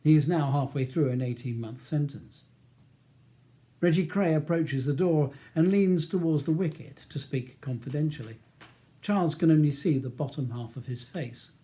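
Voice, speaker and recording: A British man narrates a novel from a script. His voice is relaxed and mellow in tone, pitched a little higher than most men. The delivery is that of an author reading his own audiobook. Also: moderate pace.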